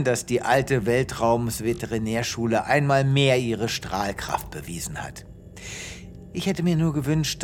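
An actor playing a pompous, self-satisfied space veterinarian hero in a German audio drama. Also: male voice, medium pitch, natural voice.

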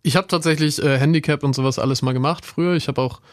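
A man's voice: on the high side, steady tone, fast, no echo, studio quality. A man chatting casually as a guest, in a laid-back and understated way. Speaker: professional handball player, guest. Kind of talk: casual chat.

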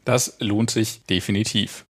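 A man's voice: on the low side, steady tone, a little slow. A man giving a conversational album review as co-host of a music podcast.

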